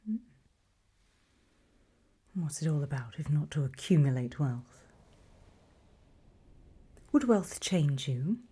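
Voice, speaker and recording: A British woman playing a sly, greedy female demon auctioneer in a whispered ASMR roleplay, with a soft breathy voice and a deliberate, coolly questioning manner. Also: deep voice, lively intonation, heavily acted. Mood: greedy, probing.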